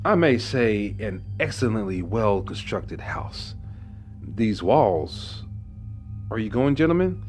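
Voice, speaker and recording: A man's voice: fairly low pitch, very animated, takes it slowly, soft background music. An actor playing a deranged, smug murderer who confesses his crime in formal, theatrical horror narration. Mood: falsely jovial bravado. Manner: theatrical, formal, brooding, drops into hushed whispers. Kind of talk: dramatic horror narration of a classic gothic tale.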